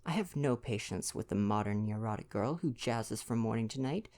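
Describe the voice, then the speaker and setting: A young man doing an intimate ASMR boyfriend roleplay, chatting casually and reading aloud in a gentle, playful, affectionate way. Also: fairly low pitch, animated, moderate pace. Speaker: ASMR roleplay performer and reader.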